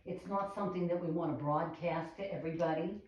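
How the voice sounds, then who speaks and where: A woman's voice: fairly low pitch, fairly even intonation, a little fast. Exasperated older woman, echoey and distant. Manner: exasperated, sarcastic. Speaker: grandmother, owner of the house. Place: her house, indoors. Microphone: phone held across the room. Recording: very echoey, distant, dull phone audio.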